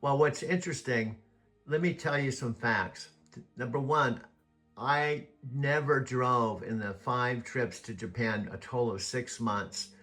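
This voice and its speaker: Bright-voiced American man telling stories. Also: medium pitch, measured pace, faint music behind. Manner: rambling storyteller, folksy. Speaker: video host. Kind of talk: casual chat.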